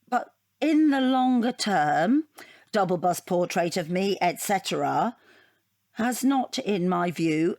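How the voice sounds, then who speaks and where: An actress playing an opinionated, defensive art student in a comic audio drama, frank and matter-of-fact. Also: female voice, mid-range voice, a little mellow, dry recording, clean studio sound. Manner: rambling, matter-of-fact, self-justifying.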